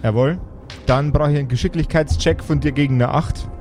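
A man narrating an improvised tabletop game as game master, in measured, suspenseful phrases. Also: on the high side, steady tone, a little mellow. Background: background music.

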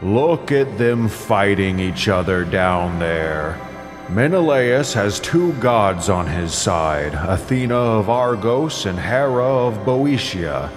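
A man's voice: lowish voice, expressive, slow pace. A man playing a mighty, imperious king of gods and exasperated husband, speaking in a grand declamatory way in an epic audio drama. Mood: scheming, displeased. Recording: long cavernous reverb, loud music behind.

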